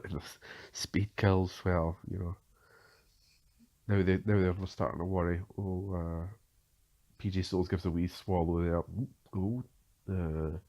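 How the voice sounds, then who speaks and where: Low-voiced hesitant man with a cold. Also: warm tone, muffled recording. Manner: hesitant, many fillers and restarts. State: has a cold. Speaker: podcast host giving film commentary. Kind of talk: unscripted film commentary.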